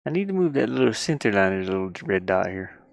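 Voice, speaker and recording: Tired guy w/ gravelly fry, mumbling to himself while he does game dev on stream, dry headset sound. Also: fairly low pitch, very animated, fast, dry recording. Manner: muttering to himself, grumbling at the software. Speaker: indie game developer working on camera. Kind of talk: casual thinking-aloud screen-work stream.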